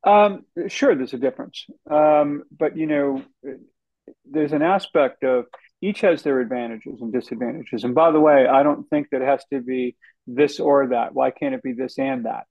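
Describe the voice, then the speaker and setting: A man's voice: medium pitch, normal speed, no echo. Man answering in a dull, dry recording. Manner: conversational, many fillers. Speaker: guest, author. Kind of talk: interview answers.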